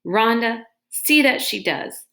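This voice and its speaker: A woman's voice: a little high, lively intonation, slow, creaky, pushed and bright, pitched above the performer's own voice, exaggerated voice. A woman voicing a brave, protective father in a storybook, earnest, emotional and resolute.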